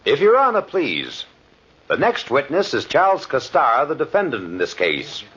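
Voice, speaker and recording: Courteous, theatrical trial lawyer in vintage radio; hugely varied pitch, husky, muffled sound. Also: male voice, huge pitch swings, moderate pace, fairly bright tone, full character voice. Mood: formal. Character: formal, coaxing male courtroom lawyer. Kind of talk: 1940s radio drama acting. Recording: muffled old radio recording.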